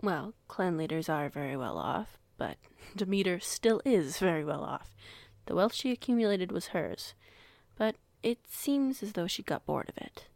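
Woman playing a young female pack alpha, slightly low, creaky, measured, polite, dry sound, audio roleplay. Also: very animated, darkish tone, dry recording. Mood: informative. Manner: measured, formal phrasing. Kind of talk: audio roleplay acting, conversation.

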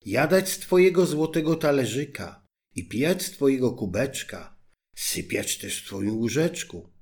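A man's voice: mid-range voice, sing-song, unhurried, a little mellow. A man voicing a persistent female talking frog in a fairy tale, coaxing and pleading.

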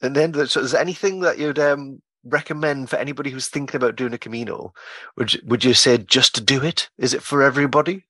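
Bright-voiced male podcast host. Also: medium pitch, quick pace, dry recording. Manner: friendly, prompting questions. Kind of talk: casual interview conversation.

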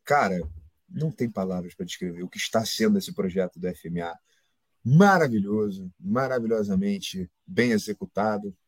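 A man co-hosting a casual football podcast live, excitable and swearing a lot as he talks. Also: on the low side, normal speed, slightly rough, no room sound. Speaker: podcast co-host. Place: home studio, live stream.

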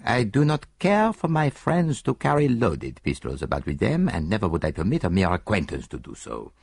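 A man's voice: on the low side, wildly swooping pitch, normal speed, gravelly, husky, dry recording, pitched above the performer's own voice, heavily acted. A man voicing a fussy, precise older male detective, mildly reproving and fond of rhetorical questions. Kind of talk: audiobook character voice.

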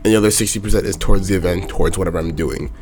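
A young man with a sore throat hosting a motivational talk, speaking in a hoarse, raspy, monotone voice and repeating his main point in plain words. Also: deep voice, racing, over music. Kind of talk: casual motivational monologue.

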